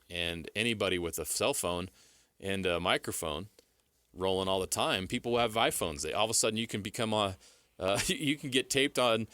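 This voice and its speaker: A man's voice: on the low side, a bit bright, no echo, clean studio sound. A man co-hosting sports radio, giving assured explanations and answering his own questions. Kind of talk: sports talk analysis. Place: radio studio.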